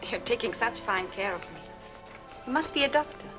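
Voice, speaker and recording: A woman's voice: fairly high pitch, lively intonation, fairly quick, natural voice. An actress playing a mysterious, polite Frenchwoman with a French accent and a pleading manner in a 1940s film noir. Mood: grateful. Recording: 1940s film soundtrack, music underneath.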